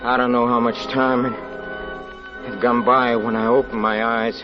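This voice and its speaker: An actor playing a haunted, desperate husband confessing a terrifying tale in halting, repetitive, melodramatic 1940s radio style. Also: male voice, mid-range voice, level tone, over music, close to an everyday voice. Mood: shaken, hushed. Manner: halting, repeats words, intensely melodramatic. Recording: muffled, noisy vintage 1940s radio recording.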